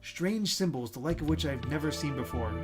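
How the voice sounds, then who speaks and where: A man's voice: a little high, animated, brisk. A man co-hosting a game stream, reading story narration aloud in a casual way and sometimes stumbling and rereading. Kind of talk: casual let's-play read-aloud with chat asides. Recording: game music behind the voice. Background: video game music.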